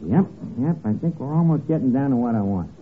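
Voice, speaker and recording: An actor playing a scheming, penny-pinching tavern manager with a New York accent and rough, slangy diction, in a 1940s radio comedy. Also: male voice, animated, brisk, narrow, tinny audio, close to an everyday voice. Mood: scheming, blustery. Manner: wheedling, malapropism-prone, hesitating before each pitch. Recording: thin, noisy old radio broadcast recording.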